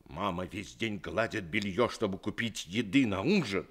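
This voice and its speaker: A man's voice: lowish voice, normal speed. Harsh scolding father. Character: stern, bitter father out of work. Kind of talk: 1960s Soviet radio drama acting.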